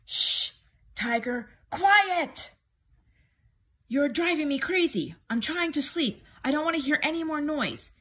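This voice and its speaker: A woman's voice: high-pitched, expressive, slow, bright, forceful tone, voice pushed up, exaggerated voice. A woman voicing an exasperated, sleepy young child in a storybook, shushing and scolding insistently. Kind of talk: storybook character acting.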